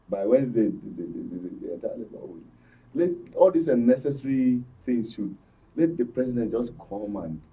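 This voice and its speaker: Very dark, husky Nigerian male commentator. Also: fairly low pitch, distant mic, highs cut off. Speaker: studio guest commentator. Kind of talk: political commentary. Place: radio and TV studio.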